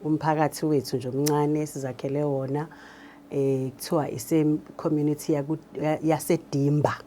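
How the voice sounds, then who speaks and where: A woman's voice: low-pitched, level tone, fairly quick, mellow, slight background noise. A woman with a South African accent explaining her work in an interview, code-switching as she goes. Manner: explanatory, switches between Zulu and English. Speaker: radio drama director. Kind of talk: interview talk.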